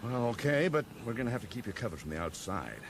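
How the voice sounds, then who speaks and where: An actor playing a sly, insistent investigator in a radio drama, mock-polite, wry and tough-talking. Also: male voice, mid-range voice, big pitch swings, quick pace. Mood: tough, pressing. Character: sly, insistent American investigator, needling and threatening. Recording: 1980s radio recording with clear noise.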